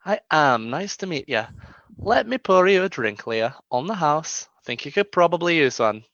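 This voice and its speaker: A man's voice: on the high side, sing-song, moderate pace, gravelly, fairly bright tone, no room sound, full character voice. A man playing an easygoing, awkward bartender who talks casually and hesitantly in a radio comedy, on a dry, dull recording. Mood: friendly, awkward. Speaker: actor in a comedy radio drama. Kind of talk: naturalistic radio comedy-drama acting.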